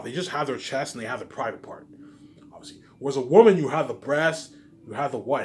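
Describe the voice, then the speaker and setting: A man giving a rambling, informal monologue, repeating his phrases and swearing often, with words tagged onto the ends of sentences. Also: mid-range voice. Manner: repetitive, circles back to the same phrases; frequent swearing. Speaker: solo video speaker.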